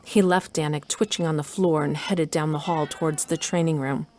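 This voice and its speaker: A woman's voice: deep voice, fairly even intonation, moderate pace, darkish tone. A woman reading scripted story narration for an audio drama. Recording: noisy ambient sound effects.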